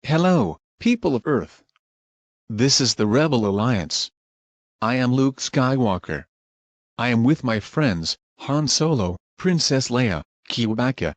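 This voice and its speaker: A man acts as a heroic male rebel leader making a resolute scripted address in a sci-fi drama. Mid-pitched, with dull and very dry audio. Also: no echo, natural voice. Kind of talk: scripted speech.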